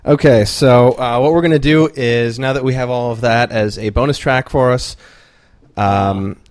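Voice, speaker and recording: A man hosting a podcast in a small room, calmly giving practical instructions about mic distance. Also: mid-range voice, normal speed. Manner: practical, gives mic directions. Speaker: podcast host. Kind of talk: casual chat and instructions.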